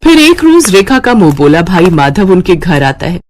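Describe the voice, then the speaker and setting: A woman narrating a scripted story in an expressive storytelling manner. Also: on the low side, moderate pace, no room sound.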